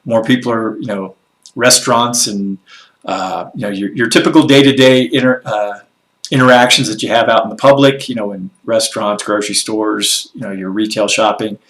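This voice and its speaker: A man's voice: fairly low pitch, steady tone, normal speed, fairly bright tone, rough recording. A man giving a casual weekly market recap as a financial advisor. He is a little congested and froggy, and he rambles with lots of fillers and blunt asides. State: slightly congested and froggy after a workout. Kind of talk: casual solo commentary on news and markets.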